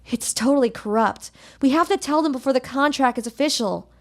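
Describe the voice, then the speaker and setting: A woman's voice: sits high, brisk. A woman playing a confident, teasing young ex-delinquent who runs a company, self-assured and playful in a comic manga dub. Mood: businesslike.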